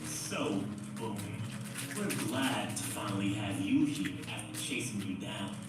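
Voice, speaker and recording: A man's voice: fairly low pitch, measured pace, heavy background noise, natural voice. A man playing a teasing, showy press conference host in an audio play. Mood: jovial. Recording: echoey, distant, music behind.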